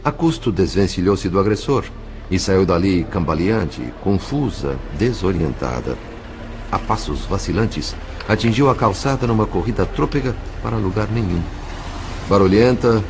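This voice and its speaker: A man with a Brazilian accent narrating an audio novel in a measured, solemn, literary way. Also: low-pitched, noisy background. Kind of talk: dramatic scripted narration.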